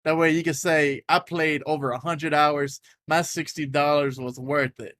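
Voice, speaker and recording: A man co-hosting a casual gaming podcast, loud and animated, tripping over restarts as he makes his points. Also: fairly high pitch, normal speed, no room sound. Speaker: podcast co-host. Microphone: desk mic, podcast setup.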